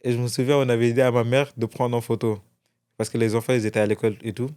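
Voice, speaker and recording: A man's voice: medium pitch, flat delivery, quick pace, darkish tone, smooth. A young man from France, a guest in an interview, telling his story casually with many restarts. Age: young adult.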